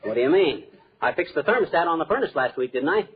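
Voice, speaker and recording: Lively, blustery radio-comedy husband. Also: male voice, on the high side, fast talker. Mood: boastful. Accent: American. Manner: folksy wisecracking, exclamatory. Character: blustery, overconfident husband, eager amateur handyman. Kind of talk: 1940s radio comedy acting. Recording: muffled noisy 1940s radio, loud studio audience.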